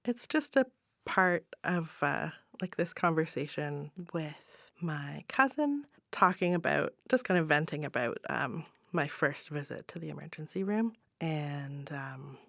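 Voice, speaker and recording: A woman chatting as an interview guest, thoughtful and tentative, often trailing off softly. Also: low voice, animated, measured pace, vocal fry, dry recording, clean studio sound. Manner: thoughtful, tentative, hedging with soft trail-offs. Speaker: guest playwright and spoken word poet. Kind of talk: casual interview chat. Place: studio, in person.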